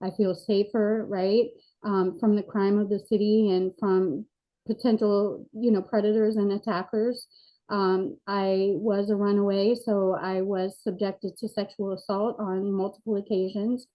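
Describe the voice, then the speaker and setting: A woman's voice: mid-range voice, level tone, measured pace, mellow. A woman in her forties speaking as an interview guest, earnest and reflective, with frequent fillers, over a video call. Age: 40s. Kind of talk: candid personal interview. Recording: dull lo-fi sound.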